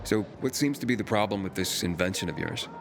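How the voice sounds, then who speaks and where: Low-voiced guarded wanderer. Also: male voice, lively intonation, fast talker, music in the background. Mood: dry, cautious. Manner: reserved, terse. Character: guarded, laconic male pilgrim, a lone wanderer. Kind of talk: video game cutscene acting.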